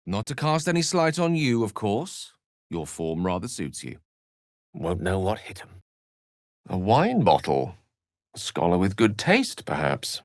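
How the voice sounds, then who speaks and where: A man's voice: lowish voice, huge pitch swings, a little slow, no room sound, studio quality, exaggerated voice. An actor playing an erudite, witty and self-assured wizard in a video game, eloquent and theatrical in his wordy delivery. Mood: wry, teasing. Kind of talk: video game character acting.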